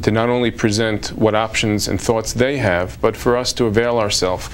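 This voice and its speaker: Measured, formal male lawyer. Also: on the low side, steady tone, slight background noise. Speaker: immigration lawyer. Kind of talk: TV interview.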